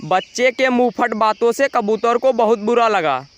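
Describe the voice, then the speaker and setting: A man's voice: extremely high pitch, lively intonation, moderate pace, slight background noise. A man narrating a scripted children's moral story as storyteller.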